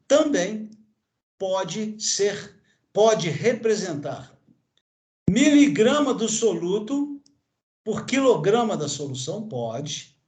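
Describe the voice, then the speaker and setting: A man's voice: high-pitched, a little mellow. A Brazilian man in his sixties or older, a chemistry teacher giving a lecture, pointing at a graph and tagging nearly every phrase with questions to his class. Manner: pointing at a graph with constant tag questions and fillers. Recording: echoey room.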